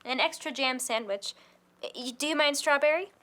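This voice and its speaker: A woman's voice: high voice, moderate pace, fairly bright tone. A woman acting as a polite, easily flustered rural young mother in an amateur audio drama. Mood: apologetic. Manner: polite, hesitant.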